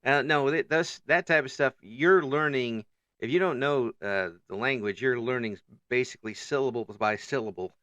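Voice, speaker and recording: Male interview host, bright tense tone, chuckly and stumbling, dry close sound. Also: mid-range voice, normal speed, dry recording, highs cut off. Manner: chuckles often, stumbles and repeats words. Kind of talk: casual interview chat.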